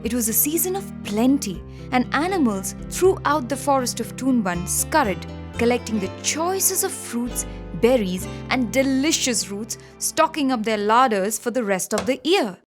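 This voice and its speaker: A woman reading scripted children's stories as an expressive, dramatic storyteller. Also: fairly high pitch, moderate pace, over music. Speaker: storyteller, narrator.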